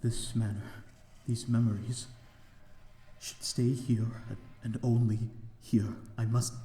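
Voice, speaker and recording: A man playing a lonely, remorseful vampire in an ASMR audio roleplay, with halting, stammering, formal old-fashioned speech. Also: medium pitch, little pitch movement, dark tone, reverberant, lightly acted. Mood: bittersweet, resigned. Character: lonely, remorseful male vampire of a desolate manor.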